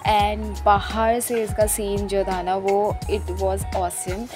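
A young woman with an Indian accent gives a casual vlog voice-over. She keeps dropping English phrases into her talk as she guides the viewer along. Her pitch sits in an ordinary female range, and loud music plays behind her. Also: little pitch movement, extremely slow. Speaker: travel and food vlogger.

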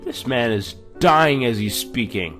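A man's voice: mid-range voice, expressive, unhurried, fairly bright tone, soft background music. A man hosting a gaming video in a casual, chatty, self-deprecating way, reading text aloud with stumbles. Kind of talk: casual let's-play commentary with reading aloud.

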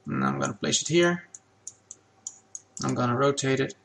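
Gravelly-voiced man explaining software steps. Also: medium pitch, moderate pace. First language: Dutch. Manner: relaxed, plain step-by-step explaining with fillers. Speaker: game asset creator. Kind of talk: software tutorial walkthrough.

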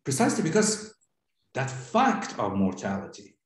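A man with a Persian accent, a native Farsi speaker, giving a philosophy seminar lecture in a deliberate, halting way with frequent pauses. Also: medium pitch, huge pitch swings. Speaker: seminar instructor. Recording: very echoey room.

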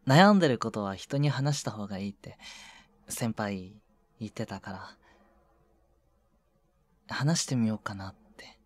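A man playing a shy junior boyfriend in an intimate ASMR voice drama, speaking softly and hesitantly with breathy murmurs and casual politeness. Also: fairly low pitch, no echo. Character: shy younger junior boyfriend, awkward at showing affection. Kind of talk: intimate ASMR situation voice drama, one side of a phone call. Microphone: close, dry microphone.

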